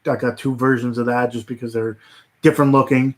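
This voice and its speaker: A man chatting on a casual livestream at an ordinary pitch, drifting from thought to thought with plenty of fillers and false starts. His voice is wearing thin and turning hoarse. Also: level tone, fairly quick. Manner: rambling, many fillers and restarts. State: voice getting hoarse. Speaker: livestream host.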